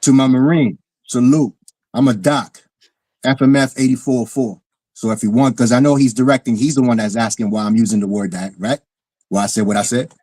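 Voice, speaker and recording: A man's voice: mid-range voice, steady tone, a little fast, mellow, dry recording. A man from the South Bronx with a New York accent, speaking bluntly and emphatically as a caller in a casual debate, repeating his phrases. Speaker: caller, military veteran. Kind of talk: casual heated discussion.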